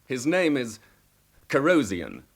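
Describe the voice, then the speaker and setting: A man's voice: mid-range voice, huge pitch swings, takes it slowly, gravelly, breathy edge, exaggerated voice. An actor playing a young newlywed businessman in a radio drama, suave and affectionate but guarded. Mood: shaken, guarded.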